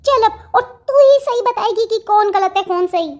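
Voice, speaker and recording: A woman's voice: very high voice, moderate pace. A woman playing a stern middle-aged village mother in a family cartoon, scolding in a dramatic, emphatic way. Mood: angry, threatening. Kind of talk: melodramatic family cartoon dialogue.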